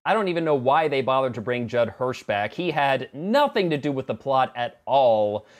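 Sarcastic man with very lively intonation. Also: medium pitch, moderate pace. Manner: sarcastic, exasperated. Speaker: film review vlogger. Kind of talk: conversational movie review to camera. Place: small home office room indoors.

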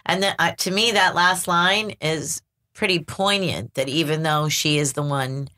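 Woman running a poetry podcast, low pitch but really bright and sharp sounding, keeps things moving. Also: measured pace. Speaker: podcast host. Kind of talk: casual literary discussion.

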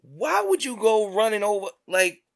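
A middle-aged man talking casually on a small studio set. His pitch swings widely as he fires off incredulous, repeated questions. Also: big pitch swings, normal speed, vocal fry. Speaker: podcast co-host. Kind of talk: casual chat.

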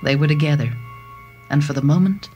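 A woman's voice: low voice, brisk, warm tone. A woman giving a dramatic scripted reading of a short story. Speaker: story narrator. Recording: music bed behind the voice.

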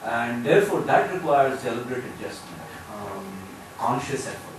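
A man's voice: mid-range voice, animated. Indian man speaking in an echoey hall. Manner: measured, hesitant with many fillers. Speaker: panelist. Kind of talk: panel discussion remarks. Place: conference hall panel table. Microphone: table mic, far camera. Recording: echoey hall, distant mic, noise.

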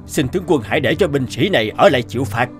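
Army squad leader male actor for animation: dutiful, stern, commanding, highly varied pitch over music. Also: animated, fast talker, close to an everyday voice. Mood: urgent appeal. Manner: formal, commanding.

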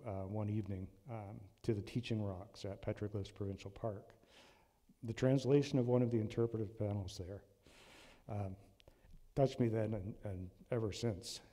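A man in his sixties giving an earnest, measured sermon from a church lectern, with frequent ums and restarts. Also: on the low side, warm tone. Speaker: lay preacher. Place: church sanctuary. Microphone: lectern mic. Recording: long hall reverb in a church.

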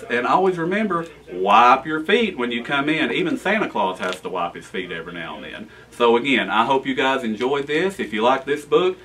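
An American man in his forties reading a children's storybook aloud in an animated, expressive way. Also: mid-range voice, far from the mic. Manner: animated, expressive reading with asides to young listeners. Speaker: guest storyteller, town official. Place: library room with bookshelves, indoors.

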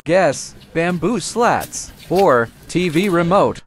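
Quiz host for short guessing-game prompts, slow delivery, swooping pitch, very noisy clicky recording. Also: animated.

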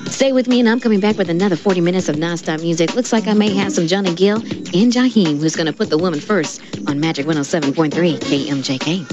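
A woman's voice: lowish voice, fast talker, hardly any pauses. An American woman working as a radio DJ, announcing between songs. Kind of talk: radio DJ announcing over music. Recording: dull radio aircheck over loud music. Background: music.

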